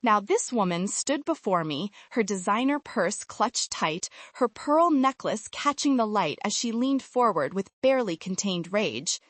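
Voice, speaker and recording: A woman's voice: mid-range voice, very animated, a little slow, hardly any pauses, fairly bright tone, no echo. A woman storyteller reading a scripted, dramatic first-person narration.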